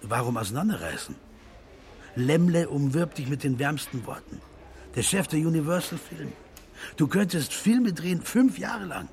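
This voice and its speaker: An actor playing a worldly film producer who talks as a loyal, urging friend in a radio play. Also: male voice, fairly high pitch, expressive, normal speed, a little mellow. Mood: urging, concerned.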